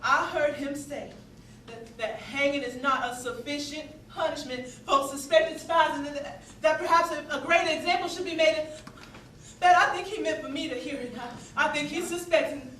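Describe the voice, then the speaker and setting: An actress playing an earnest house slave working as a spy in a stage play, speaking in an urgent, halting way. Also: female voice, high voice, fairly bright tone. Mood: urgent, anxious. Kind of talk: theatrical stage dialogue. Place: theatre stage. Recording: distant, echoey stage recording with noise.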